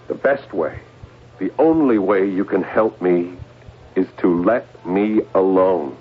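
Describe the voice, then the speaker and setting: Troubled, halting man, tinny old radio. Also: low-pitched, noisy background. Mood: anguished, pleading. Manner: halting, hesitant, repeating words. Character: troubled, fearful man on a secret psychic project. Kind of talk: 1970s radio drama acting.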